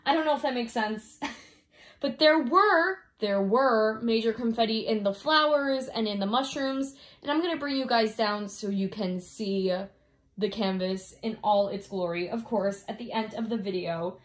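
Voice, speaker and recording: A young woman with a fairly high voice talks at home about her craft project, sounding a little stuffy from a cold. She rambles loosely, giggles often and fills her pauses with "um" and "like", filming from a handheld phone above her work. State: slightly congested from a cold. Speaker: diamond painting vlogger. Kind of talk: casual review chat, reading off notes. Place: indoors at home, filming down at a canvas.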